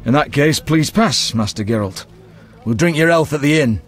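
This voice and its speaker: An actor playing a gruff, dutiful town guard in a fantasy game. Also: male voice, on the high side, lively intonation, moderate pace, soft background music, lightly acted. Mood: impressed, friendly.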